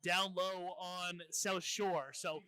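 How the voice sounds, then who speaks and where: Male basketball color analyst, high and bright voice, conversational live broadcast delivery. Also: flat delivery, takes it slowly. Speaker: color commentator. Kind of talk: live TV sports commentary, conversational.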